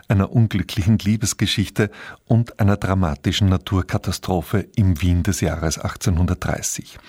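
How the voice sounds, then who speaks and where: A man reads a scripted cultural introduction as a radio presenter, measured and articulate. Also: low voice, darkish tone, slightly rough, no echo, studio quality. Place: radio studio.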